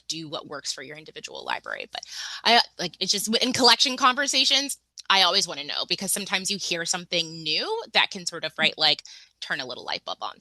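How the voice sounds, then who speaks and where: Chatty webinar host woman, bright voice. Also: fairly low pitch, no echo, low-quality recording. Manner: chatty, lots of fillers and restarts. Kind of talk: casual online webinar hosting. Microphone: video call.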